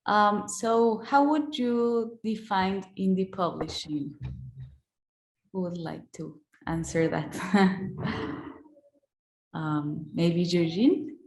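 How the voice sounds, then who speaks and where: A woman from Mexico moderating an online panel, with gentle hesitations. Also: mid-range voice, very slow, pauses often, roomy sound, poor audio.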